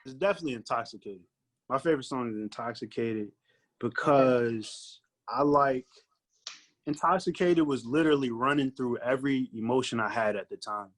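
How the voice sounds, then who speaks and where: A man with a mid-pitched voice chats as an interview guest. He is a recording artist, and his talk is casual, conversational and full of slang. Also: moderate pace.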